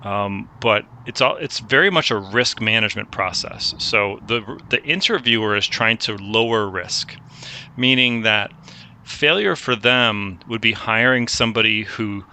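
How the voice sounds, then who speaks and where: A man hosting a career advice chat, coaching a student in a relaxed way and often restarting his phrases. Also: lowish voice, unhurried, a little hiss or hum. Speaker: host giving career advice. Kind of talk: conversational mentoring chat.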